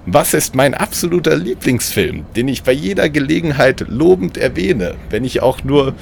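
A man's voice: mid-range voice, sing-song, moderate pace, vocal fry, slight background noise, voice pushed up. A man voicing a villainous, gift-stealing evil twin in a comic audio play, with an affected, declaiming manner. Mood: smug. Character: shrill villainous mirror-universe double, gift-stealing scoundrel.

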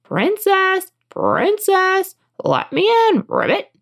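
A woman's voice: very high voice, huge pitch swings, slow pace, gravelly, a bit bright, voice pushed up, exaggerated voice. A woman voicing a small male talking frog from a storybook, eager and insistent, who punctuates speech with ribbits. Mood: urgent pleading. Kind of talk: storybook character voice.

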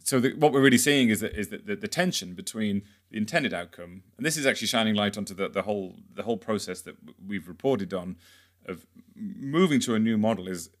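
A man with a fairly low voice hosts a podcast interview. He moderates casually, with wry phrasing and hesitant pauses as he frames things. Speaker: podcast host.

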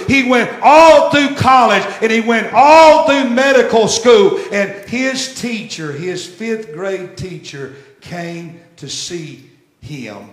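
Man in his 60s, pastor mid-sermon. Unhurried delivery, swings from loud repeats to near-hush, boomy room. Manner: emphatic, repeats phrases and swells loud, then drops very soft. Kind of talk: sermon with storytelling. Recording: echoey church room.

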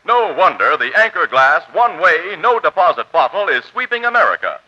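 A male radio announcer reads a sales pitch in 1940s style on a muffled recording. His voice is high for a man and lively in its rise and fall. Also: a little fast, breathy edge.

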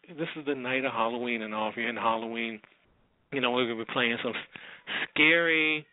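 Male, radio host, casual ad-libbed intro style, rambling and halting, dry tinny phone-quality audio. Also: mid-range voice, dry recording.

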